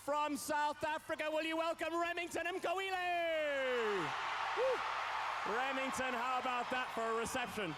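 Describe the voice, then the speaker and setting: Loud high-voiced male show host. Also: unhurried. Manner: shouting, upbeat. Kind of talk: loud arena presenting. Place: indoor arena. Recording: noisy arena.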